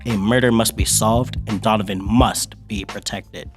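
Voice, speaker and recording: A man's voice: fairly low pitch, steady tone, normal speed, natural voice. A man playing a solemn old-time radio announcer, narrating a mystery serial in a theatrical, ominous manner. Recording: music bed behind the voice.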